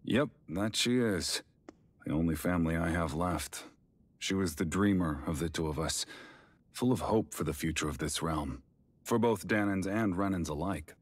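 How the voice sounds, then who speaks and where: An actor voicing a sly, gravel-voiced rebel man in a video game, talking in an unhurried, wry, teasing way as an estranged brother. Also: sits low, dry recording. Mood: composed, wistful. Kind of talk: dramatic video game voice acting. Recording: game music under the dialogue.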